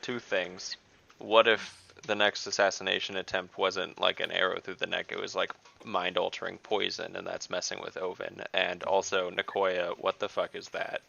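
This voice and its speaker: A man's voice: low voice, completely flat delivery, normal speed, creaky, bright, forceful tone. A man chatting casually as a player in an online tabletop game, speaking lightly in character. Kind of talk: casual game chat and light role-play. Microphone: online group call. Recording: dull, band-limited online call audio.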